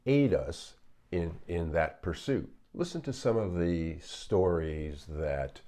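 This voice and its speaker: This is an older man with a very deep voice, talking slowly and deliberately. He speaks as a psychologist commenting on clips, stopping often to pause and fill gaps with fillers. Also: dark tone. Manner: slow, measured, with frequent pauses and fillers.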